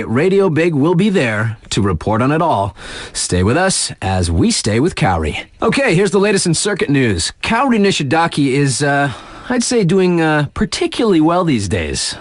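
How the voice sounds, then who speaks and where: A man playing a hip, easygoing radio DJ in a video game, delivering snowboard circuit news with slangy, enthusiastic patter and emphatic drawn-out phrases. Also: fairly high pitch, no room sound.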